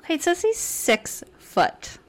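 A woman's voice: very high voice, wildly swooping pitch, measured pace. A woman co-hosting a podcast in casual chat, playful and opinionated, laughing often. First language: not English. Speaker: podcast co-host.

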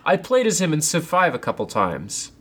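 Stuffy-nosed guy chatting on a basement podcast, animated, pitch jumping around a lot. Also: moderate pace, breathy edge. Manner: animated, self-deprecating, sips tea while talking. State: congested. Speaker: podcast co-host. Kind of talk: casual chat. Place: home basement.

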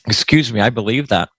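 A man hosting a panel discussion, speaking in a measured, wry way. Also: mid-range voice, a bit bright, slightly rough, no room sound, poor audio. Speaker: host.

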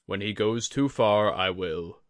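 Guy as a young student in a fantasy audiobook, earnest n resolute, low voice, kinda restrained. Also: unhurried, no echo, studio quality, lightly acted. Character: earnest young male student, grieving but steadfast.

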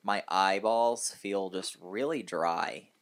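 A man's voice: deep voice, slow. A hungover man chatting casually and telling stories as a podcast co-host. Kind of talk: casual chat, storytelling.

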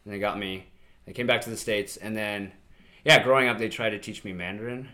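A man's voice: on the low side, fairly even intonation, brisk, pushed and bright. A man co-hosting a podcast in casual chat, with joking asides. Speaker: podcast co-host.

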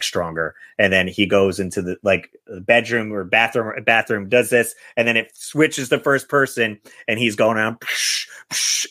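A man's voice: deep voice, sharp tone, no room sound. A man hosting a podcast, chatting casually and reading out film reviews. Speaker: podcast host.

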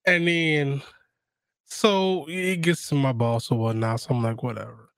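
Male co-host, raspy mid-range voice, dry close sound, wry conversational banter. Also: medium pitch, steady tone, a little slow, no room sound. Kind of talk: casual chat, joking storytelling.

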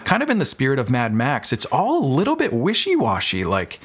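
An American man with a middle-pitched voice speaks as an interview guest. He explains things in an informal, conversational way, like someone telling stories to a friend. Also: huge pitch swings, fairly quick, a bit bright, breathy edge. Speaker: podcast guest, audio drama producer. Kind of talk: casual interview chat.

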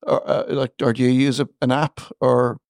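A man's voice: mid-range voice, flat delivery, moderate pace, gravelly, darkish tone, clear, resonant tone, dry recording, studio quality. A man hosting a podcast, asking rambling questions in casual chat. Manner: chatty, laughing, rambling questions. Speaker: podcast host.

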